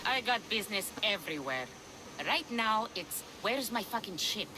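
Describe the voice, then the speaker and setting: A woman's voice: medium pitch, expressive, moderate pace, background noise, natural voice. A woman acting a brash, foul-mouthed pirate captain. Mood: grumbling, sardonic. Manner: blunt, swearing, sardonic. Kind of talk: video game cutscene acting.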